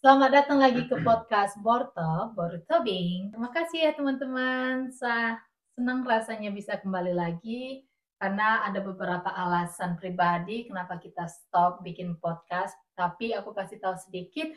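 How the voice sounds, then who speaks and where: A woman's voice: mid-range voice, moderate pace, echoey room. A woman with a Batak accent hosting a podcast in a cheerful, chatty way. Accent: Batak-tinged Indonesian. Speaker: podcast host. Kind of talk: casual podcast chat.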